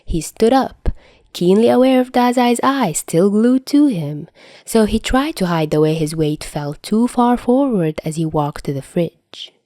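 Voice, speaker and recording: A woman's voice: medium pitch, animated, a little slow, mellow, no echo, studio quality. A woman reading scripted fiction as a narrator. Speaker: podfic narrator.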